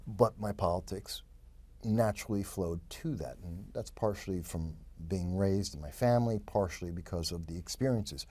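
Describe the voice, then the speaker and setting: A man in his fifties from New York, a guest telling his life story in a lively, rambling way full of quick asides. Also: sits low, slightly rough. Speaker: interview guest. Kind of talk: casual interview storytelling.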